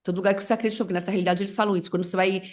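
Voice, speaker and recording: A middle-aged woman whose first language is English chats as a facilitator guest. Her voice sits at an ordinary middle pitch, and she rambles, restarting sentences and dropping in English words. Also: fairly even intonation, racing. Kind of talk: casual conversation.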